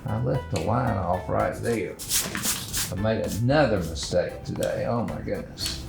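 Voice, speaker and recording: A man's voice: on the low side, measured pace, creaky, reverberant, music in the background. A man hosting a drawing show, chatting in a self-deprecating way while he draws.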